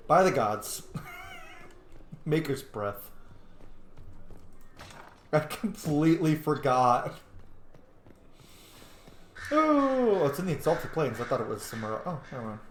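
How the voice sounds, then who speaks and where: A man's voice: medium pitch, wildly swooping pitch, moderate pace, slight background noise. A man giving casual, enthusiastic, rambling commentary as he plays a game. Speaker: gamer commentating on his playthrough. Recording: echoey room.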